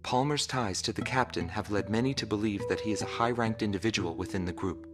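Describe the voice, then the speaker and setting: Actor as a sober low-voiced documentary reporter. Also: male voice, close to an everyday voice. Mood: matter-of-fact. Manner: measured, matter-of-fact. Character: sober male investigative reporter narrating pilot dossiers. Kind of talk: scripted documentary narration in a video game. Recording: loud guitar music behind.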